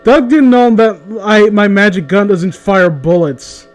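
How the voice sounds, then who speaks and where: A man's voice: high voice, a little slow. A man chatting casually in a blunt, opinionated way with plenty of swearing. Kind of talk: casual chat.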